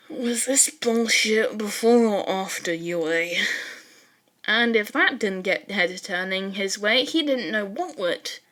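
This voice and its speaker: A woman narrating fan fiction as an expressive storytelling reader. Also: medium pitch.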